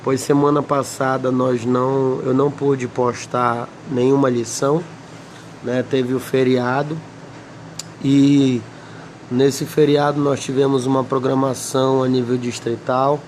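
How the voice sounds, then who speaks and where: A man with a Brazilian Portuguese accent, slightly hoarse, teaching a Bible lesson and reading scripture slowly with many pauses. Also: mid-range voice, flat delivery, darkish tone, slight background noise. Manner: slow, deliberate, pausing often, asks "amém?".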